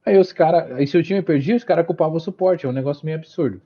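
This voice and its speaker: A man's voice: a little high, quick pace. A man co-hosting a casual podcast chat, talking hesitantly with many restarts. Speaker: podcast co-host. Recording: dull lo-fi recording.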